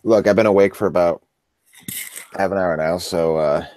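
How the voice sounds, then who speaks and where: A man's voice: deep voice, fairly even intonation, moderate pace, a little mellow, a little hiss or hum. A man co-hosting a podcast in casual chat, with a drawling, dry humor. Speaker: podcast co-host.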